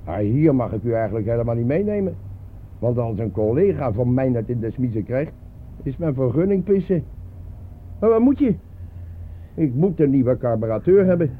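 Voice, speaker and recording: A man's voice: medium pitch, animated, moderate pace, long pauses, natural voice. A man playing a chatty, grumbling, resigned working-class taxi driver in a radio play. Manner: garrulous, colloquial, shrugging. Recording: narrow-band old radio play, faint noise.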